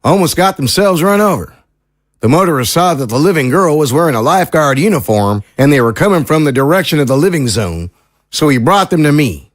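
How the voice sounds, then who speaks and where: An actor playing a matter-of-fact small-town sheriff in an audio drama, reporting plainly and dutifully. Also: male voice, a little high, big pitch swings, a little fast, no echo, clean studio sound. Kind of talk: dramatic dialogue acting.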